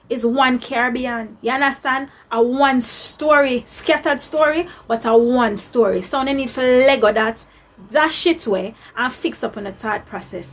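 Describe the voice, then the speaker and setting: Jamaican lady going off, kinda husky and high, lecturing tone. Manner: earnest, lecturing, patois-inflected. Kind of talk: opinion monologue.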